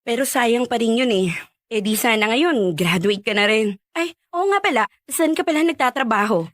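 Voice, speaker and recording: Pushy, higher-pitched young friend. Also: female voice, expressive, normal speed, a bit bright, no room sound, clean studio sound. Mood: curious. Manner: probing, blunt, advising. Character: nosy, frank young female friend, well-meaning but pushy. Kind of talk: chatty radio drama dialogue.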